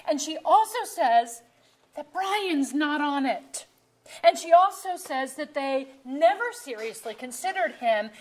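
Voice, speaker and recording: A woman acting a sceptical, sharp-tongued middle-aged wife in a staged play reading, pointed and insistent. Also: high voice, expressive, a little slow, rough recording. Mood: accusing. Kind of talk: theatrical dialogue reading. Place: museum auditorium stage.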